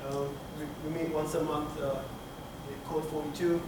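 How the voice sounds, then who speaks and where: A man's voice: fairly high pitch, little pitch movement. Man lecturing in an echoey hall. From Turkey. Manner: halting, many fillers and restarts. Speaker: conference speaker. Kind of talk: technical conference talk. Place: conference room with projector screen. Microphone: room camera from the back of the hall. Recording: echoey hall, speaker far from mic, room noise.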